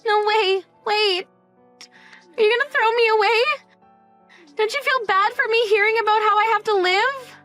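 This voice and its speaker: Woman voicing a high-pitched, pleading teenage girl. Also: moderate pace, long pauses, a bit bright, dry recording, soft background music. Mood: desperate pleading. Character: frightened, pleading teenage daughter, nearly eighteen. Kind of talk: dramatized story dialogue.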